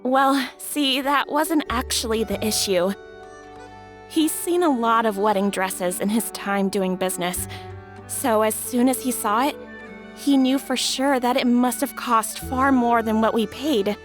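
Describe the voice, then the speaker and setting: A woman playing an earnest, grateful young bride-to-be in a video game, telling things gently and a little hesitantly. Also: fairly high pitch, a little slow, soft background music, lightly acted. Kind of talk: video game character acting.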